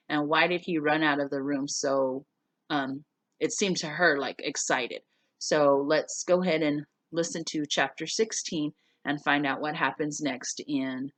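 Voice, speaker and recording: Low voiced lady teacher doing a class read aloud, simple explaining, no room sound. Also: fairly bright tone, dry recording. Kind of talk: casual spoken recap and story read-aloud for students.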